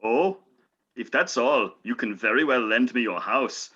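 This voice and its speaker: Man playing a husky, forceful Norse hero. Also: medium pitch, unhurried, dull audio. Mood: confident. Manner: mock-archaic, declamatory phrasing. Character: earnest, brave medieval Norse man with a bear. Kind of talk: amateur radio play.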